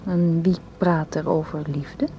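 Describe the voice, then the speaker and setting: Anxious, defensive woman for radio drama; low, soft-toned, hesitant delivery, faint noise behind. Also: fairly even intonation, takes it slowly, lo-fi sound. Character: anxious, guarded woman distrustful of her male suitor. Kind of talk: Dutch radio play acting.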